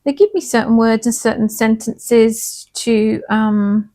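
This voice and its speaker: Woman, slightly hoarse, fairly high voice, soft and reassuring, chatty card reader. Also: fairly even intonation, slow pace. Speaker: tarot and angel card reader. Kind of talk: casual spiritual card reading.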